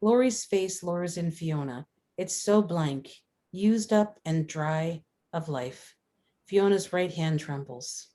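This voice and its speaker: Low-pitched woman narrating a screenplay. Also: animated, slow, dark tone. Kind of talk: reading screenplay stage directions.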